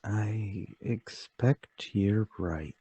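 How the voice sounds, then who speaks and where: Radio mystery: troubled, forgetful older gentleman man acting, deliberate and halting, slow delivery, lowish voice. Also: breathy edge, no room sound, full character voice. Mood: subdued, grave. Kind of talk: radio mystery drama table read.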